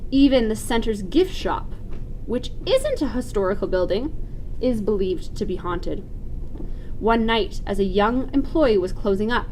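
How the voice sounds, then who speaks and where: A woman reading a spooky story aloud in an expressive, dramatic, suspenseful storytelling style. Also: on the high side, a little hiss or hum, poor audio.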